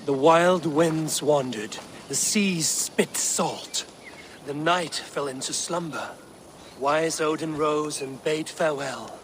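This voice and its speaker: A bold Norse raider in a video game, cunning and given to theatrical delivery. The voice sits a little high for a male. Clear environmental noise runs behind it. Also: slow. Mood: dramatic storytelling.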